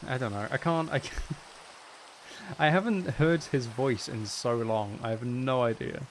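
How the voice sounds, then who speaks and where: A man streams a game and talks off the cuff in a rambling, self-deprecating way. His voice sits at a middling pitch, with a noticeable wash of rain and running water behind it. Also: unhurried, slight background noise. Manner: mildly sweary. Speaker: game streamer and audio creator. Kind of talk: casual live gaming stream chat.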